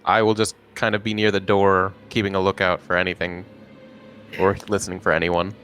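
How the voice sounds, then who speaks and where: A man's voice: sits low, level tone, fairly quick, fairly bright tone, soft background music. A man chatting casually as a player in a tabletop roleplaying game.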